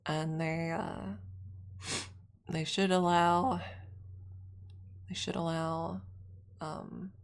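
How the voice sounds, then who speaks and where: Low-voiced, gravelly woman streamer. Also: level tone, very slow, long pauses. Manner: rambling, with frequent restarts. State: a little snuffly. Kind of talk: casual stream chat.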